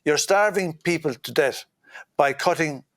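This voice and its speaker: A man's voice: measured pace, fairly bright tone, no echo, clean studio sound. A man as a guest politician in an interview, blunt and indignant, full of "uh" fillers. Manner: blunt, indignant, many "uh" fillers, mocking quotes in a higher voice. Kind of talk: impassioned interview answers.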